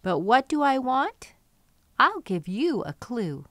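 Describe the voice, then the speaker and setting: A middle-aged woman hosting storytime, reading aloud to young children with expressive, drawn-out emphasis. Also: on the high side, no room sound, studio quality.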